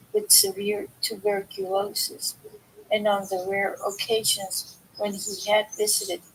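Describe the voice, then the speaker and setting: A woman reading a book aloud informally, in a halting way, sometimes stumbling over words and correcting herself. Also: medium pitch, gravelly, slight background noise, rough recording. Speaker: reader of a book aloud, video host. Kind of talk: informal read-aloud of a self-help philosophy book.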